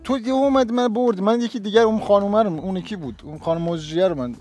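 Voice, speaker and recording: A man's voice: sits high, a little mellow, soft background music, close to an everyday voice. A man playing a moody, fickle dating-show contestant in a comic sketch, sheepish and apologetic.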